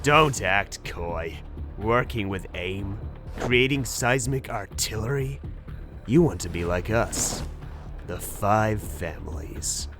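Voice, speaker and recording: A man voicing a smug, menacing crime boss in an animated series, with a needling, deliberate delivery. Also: fairly low pitch, over music. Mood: taunting.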